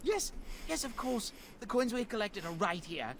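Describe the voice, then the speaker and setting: An actor playing a smarmy, mock-polite bandit leader in a fantasy game, cowardly underneath. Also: male voice, sits high, sing-song, moderate pace, fairly bright tone, a little hiss or hum, heavily acted. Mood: nervous, pleading. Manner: mock-polite, smooth-talking. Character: smarmy bandit leader running a toll, cowardly when beaten.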